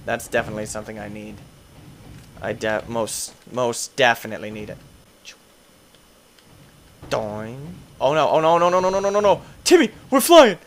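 A young man doing goofy gameplay commentary, tired, his voice deeper late at night, swinging between low mumbles and excited yelps. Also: normal speed, pauses often, slight background noise. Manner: goofy, playful, jumps between mumbling and yelping. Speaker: gaming youtuber.

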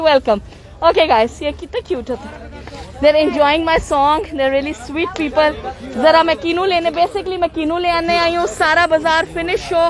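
A Pakistani woman hosting a casual live market vlog, chatty and playful, switching into English phrases, her voice slightly muffled by a mask. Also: very high-pitched. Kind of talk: casual live vlog chat, greeting viewers and haggling. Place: open-air bazaar, outdoors. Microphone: handheld phone. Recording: busy outdoor market crowd behind.

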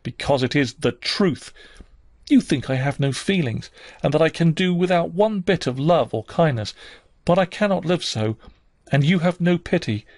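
A man's voice: a little high, animated, moderate pace, darkish tone, no echo, studio quality, pitched above the performer's own voice. A man voicing a wounded, defiant young girl in a storybook reading, vehement and accusing with emphatic delivery. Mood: anguished, indignant.

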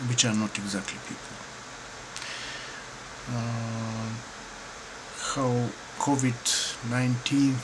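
A middle-aged man from Bulgaria with a Bulgarian accent, answering questions to camera at home in a slow, halting, thoughtful way with many pauses. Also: medium pitch. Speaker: painter and filmmaker. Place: dim room indoors at home. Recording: clear room noise behind.

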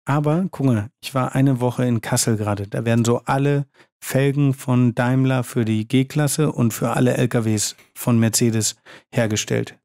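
A man with a medium-pitched voice and plenty of fry chats casually as a guest. His speech is colloquial and full of slang, and the sound is dry. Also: steady tone, normal speed, mellow, dry recording. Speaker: interview guest, photographer. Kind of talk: casual chat.

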